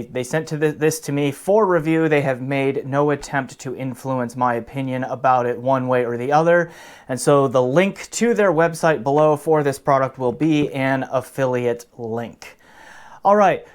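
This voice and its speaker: A man hosting an audio gear review in a casual, conversational, loosely explanatory way. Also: fairly high pitch. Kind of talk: casual product review to camera.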